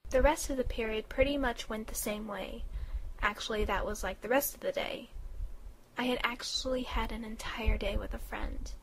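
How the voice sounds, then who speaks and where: Woman playing a shy high-voiced teenage schoolgirl. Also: steady tone, normal speed, a bit bright. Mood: subdued confiding. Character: quiet, shy, anxious teenage schoolgirl, an outcast. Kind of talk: amateur radio drama narration and acting. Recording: noisy, busy crowd ambience behind.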